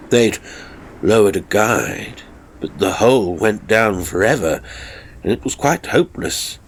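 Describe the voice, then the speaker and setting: Expressive low-voiced man narrating a tale. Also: a little hiss or hum. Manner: theatrical, wry raconteur delivery. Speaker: horror story narrator. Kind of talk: dramatic scripted narration.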